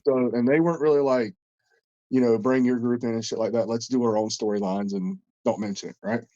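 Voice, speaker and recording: A man's voice: medium pitch, fairly even intonation, a little fast, warm tone, no echo, lo-fi sound. Congested man rambling on a podcast. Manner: rambling, profane, with frequent restarts. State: congested, stuffed-up nose. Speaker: podcast co-host. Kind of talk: casual podcast chat.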